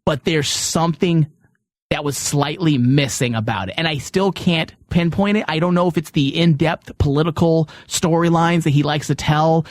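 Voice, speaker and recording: A man's voice: a little high, moderate pace, dry recording. A man hosting a studio podcast in a casual, chatty style with teasing banter. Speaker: podcast host.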